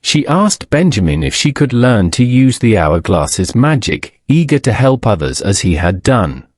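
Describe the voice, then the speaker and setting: A man reading a scripted bedtime story as a narrator, in a measured, solemn storybook manner. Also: mid-range voice, very animated, a little mellow, dry recording, studio quality.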